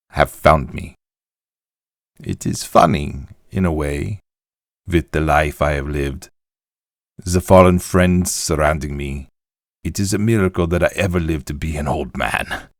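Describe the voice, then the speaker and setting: An actor playing a weary, haunted old puppet maker, reading his journal in a grave, deliberate, theatrical way for a game audio log. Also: rumbling low, no room sound, studio quality, exaggerated voice. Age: older.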